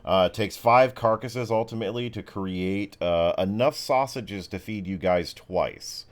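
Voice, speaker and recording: A man's voice: on the low side, unhurried. A man improvising narration as game master of a tabletop game, talking in a casual, rambling way.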